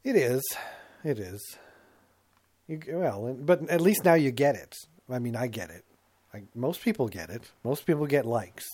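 A man's voice: mid-range voice, expressive, normal speed, darkish tone, slightly rough. A middle-aged man co-hosting a talk show in casual comic chat, rambling and self-mocking, slipping into put-on voices to quote himself.

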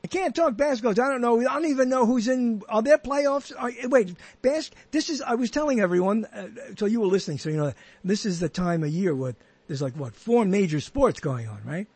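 Husky, rambling male podcast host. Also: high voice, animated, fairly quick, hardly any pauses, a little mellow, dry recording. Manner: rambling, many restarts and trailing thoughts. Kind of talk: casual interview chat.

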